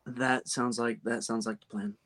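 A man's voice: mid-range voice, little pitch movement, moderate pace, a bit bright. A man in his mid twenties co-hosting a podcast, easygoing and agreeable in casual chat. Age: 20s. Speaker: podcast co-host.

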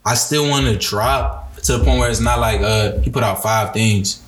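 A man's voice: on the low side, normal speed. A twenty-year-old man, a podcast guest, chatting casually and rambling with lots of "like". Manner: loose, rambling, heavy use of "like". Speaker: guest musician and designer. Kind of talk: casual interview chat. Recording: roomy echo.

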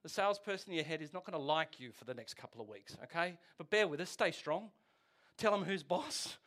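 A man's voice: a little high, animated, fairly quick, fairly bright tone. A man in his forties preaching a casual, joking sermon, often asking the congregation questions. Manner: chatty, jokey, asks the audience questions. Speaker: pastor. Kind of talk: conversational sermon.

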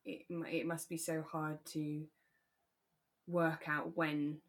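A woman with a fairly low voice chats as a podcast co-host. She is reflective and agreeable, keeping the discussion relaxed and conversational. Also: takes it slowly. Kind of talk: relaxed discussion of a radio drama.

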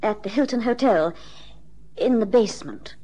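A woman's voice: mid-range voice, animated, normal speed, dark tone, lightly acted. An actress playing a genteel, well-to-do woman in her thirties in an old radio drama, proper and a little hesitant. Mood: earnest, explaining.

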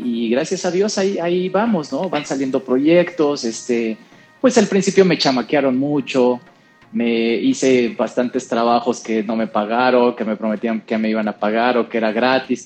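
A middle-aged man from Costa Rica, talking casually as a guest who often laughs. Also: mid-range voice. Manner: chatty, relaxed, laughs often. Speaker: guest, actor and presenter. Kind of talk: casual conversation.

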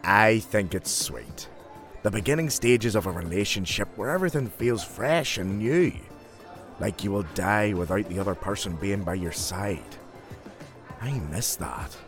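A man playing a sentimental, gentle young friend who speaks frankly, in an audio drama. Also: on the low side, a little slow, soft background music, lightly acted. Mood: wistful.

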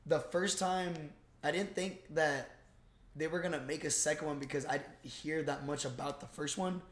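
A man's voice: on the high side, normal speed. Young man chatting, echoey room. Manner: slangy, excitable, profane. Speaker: podcast co-host. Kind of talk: casual film review chat.

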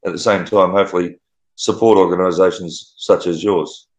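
A man's voice: deep voice, steady tone, normal speed, reverberant, dull audio. A man, an author guest on a radio chat, talking earnestly. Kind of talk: casual radio interview.

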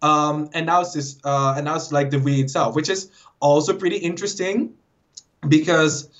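Quick-rambling Dutch man, lo-fi sound. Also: on the high side. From Netherlands. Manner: rambling, quick, many fillers, lively jumps when quoting himself. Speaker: guest YouTuber. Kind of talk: casual interview chat. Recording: dull lo-fi audio.

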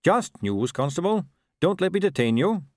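A man voicing an earnest, upright young police captain in an audiobook, brisk, courteous and formal. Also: on the high side, sing-song, dry recording, studio quality, pitched above the performer's own voice. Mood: brisk, polite.

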